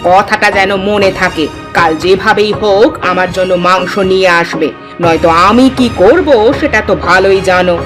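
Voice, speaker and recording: A woman's voice: mid-range voice, moderate pace, over music, natural voice. A woman voicing a demanding, petulant young village wife in a cartoon story, insistent and scolding. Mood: petulant, threatening. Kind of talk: Bengali cartoon story voice acting.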